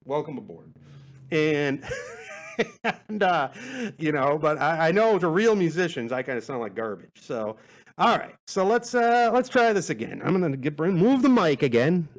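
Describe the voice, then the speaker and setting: A man's voice: a little high, big pitch swings, a little slow. A man in his forties hosting a casual podcast, rambling along in a laid-back, self-deprecating way. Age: 40s. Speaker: podcast host.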